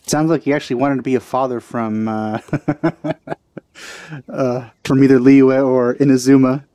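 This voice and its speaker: A man's voice: mid-range voice, steady tone, unhurried, warm tone, no echo. A man doing casual let's play commentary, dropping dry, wry asides close to the microphone.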